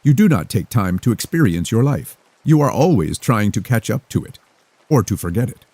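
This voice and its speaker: A man voicing a calm, mysterious young woman who speaks formally and rhythmically. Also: fairly low pitch, no room sound, heavily acted. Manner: formal, rhythmic, unhurried delivery.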